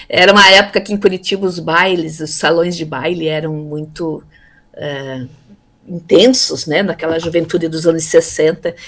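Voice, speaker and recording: A woman in her fifties from Brazil, telling her life story in a relaxed interview, pausing often with fillers and repeated words. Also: low voice. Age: 50s. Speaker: interviewee, theology graduate. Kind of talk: casual conversational interview.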